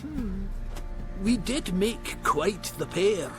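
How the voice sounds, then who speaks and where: Folksy dwarf smith, swooping pitch. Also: male voice, big pitch swings, extremely slow, music in the background, full character voice. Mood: wistful. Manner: folksy, exclamatory, old-fashioned turns of phrase. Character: proud, flustered male dwarf armorer, gruff but good-hearted. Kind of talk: video game character acting.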